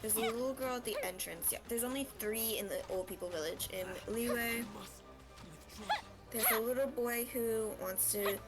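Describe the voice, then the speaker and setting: A woman's voice: fairly high pitch, normal speed. A woman hosting a game livestream, chatting casually. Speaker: livestream host. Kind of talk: casual gaming chat. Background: clear game music.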